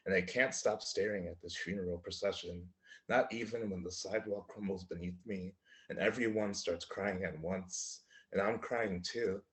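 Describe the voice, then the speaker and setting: Male poet, low register, live reading of his own work. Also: fairly even intonation, moderate pace, fairly bright tone. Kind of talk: live poetry reading with spoken introductions. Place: indoor room in front of a slate wall, online reading.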